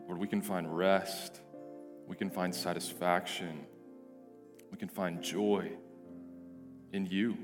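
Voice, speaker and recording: A male pastor with a hoarse, deep, raspy voice, praying and then preaching earnestly, often restarting and repeating words. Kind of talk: prayer and sermon. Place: church sanctuary. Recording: reverberant church hall with soft music.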